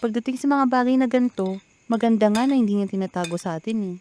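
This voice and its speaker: A woman's voice: a little high, quick pace, mellow, a little hiss or hum, natural voice. A woman with a Filipino accent playing a mild, peacemaking mother of grown children in a radio drama. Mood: concerned, reasoning.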